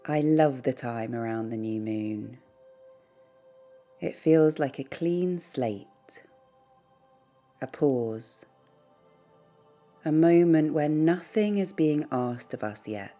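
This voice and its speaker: A woman leading a guided meditation in a soothing, gentle, unhurried way, pausing between short phrases. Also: deep voice, expressive. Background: background music.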